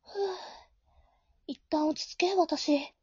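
A woman playing a flustered, caring high school girl who narrates her own story in an amateur audio drama, in a soft, girlish, expressive way. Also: slow pace, dry recording. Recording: dull, lo-fi home recording.

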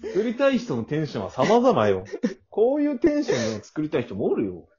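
A man bantering casually as a podcast co-host, animated and quick with a retort. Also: a little high, highs cut off. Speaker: podcast co-host in a male comedy duo.

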